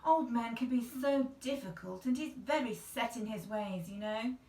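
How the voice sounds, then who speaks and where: A woman's voice: on the high side, normal speed, dark tone, roomy sound, far from the mic, lightly acted, low-quality recording. A woman voicing a prim, fussy little aunt in a storybook, fretful and fussing. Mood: complaining.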